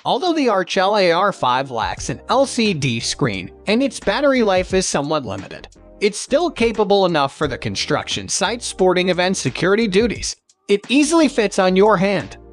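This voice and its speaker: A man narrating a scripted product review, reading stiffly from the script. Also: high voice.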